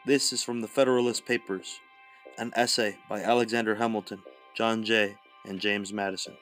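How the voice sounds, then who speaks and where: A man giving a formal, scripted reading as a podcast narrator, in a measured, deliberate manner. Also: lowish voice, fairly even intonation, a bit bright. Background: music.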